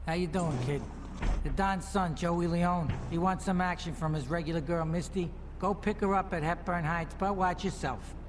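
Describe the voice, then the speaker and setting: A man's voice: high voice, moderate pace, in a large hall, noisy background, lightly acted. A man playing a sleazy, menacing mobster club boss in a video game, bossy and streetwise, giving orders. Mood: brisk, warning. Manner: bossy, streetwise wise-guy talk. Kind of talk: video game cutscene acting.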